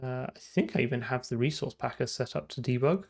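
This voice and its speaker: A man's voice: medium pitch, a little fast, creaky, darkish tone. A man thinking aloud in casual live coding commentary, muttering to himself and trailing off mid-thought. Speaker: programmer livestreaming game development.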